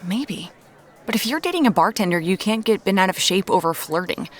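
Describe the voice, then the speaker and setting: A woman's voice: medium pitch, animated, fairly quick, close to an everyday voice. A woman playing a determined, dryly frank amateur detective in a naturalistic audio drama. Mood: thoughtful, probing. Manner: dry, frank, stubborn. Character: determined amateur woman detective hunting her sister's killer.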